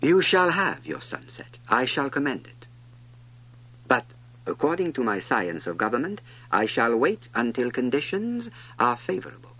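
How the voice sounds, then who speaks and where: A man's voice: medium pitch, very animated, normal speed, sharp tone, breathy edge, full character voice, rough recording. A man playing a pompous, commanding but good-natured absolute monarch in a storybook reading. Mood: grand, assured. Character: pompous absolute monarch, vain yet good-natured old-world king.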